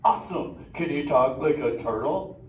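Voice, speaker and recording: A man's voice: a little high, expressive, normal speed, distant mic, natural voice. A man playing a laid-back male surfer sea turtle in a live interactive theater show, drawling surfer slang and bantering with the crowd. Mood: playful. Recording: echoey theater heard from afar with ambient noise.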